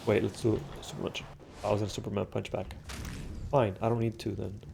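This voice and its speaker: Stuffy-nosed young guy rambling through his game, gravelly low voice, faint hiss behind. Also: level tone, normal speed. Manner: rambling, profane, many fillers and restarts. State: congested, stuffy nose. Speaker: gaming commentator. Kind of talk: casual solo gameplay commentary. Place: home room.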